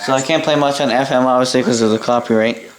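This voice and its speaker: A Canadian man casually demonstrating gear in an unscripted, halting way, thinking aloud as he goes. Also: medium pitch, steady tone, crowd noise. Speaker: hobbyist presenter demonstrating a vintage boombox. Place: indoor room at a workbench by a window. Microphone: camera mic a short distance away. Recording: roomy, with noise and clicks.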